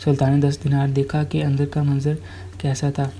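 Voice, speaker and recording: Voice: medium pitch, monotone, normal speed, mellow, slight background noise. A storyteller narrating a scripted tale, now and then stumbling over and repeating words while reading.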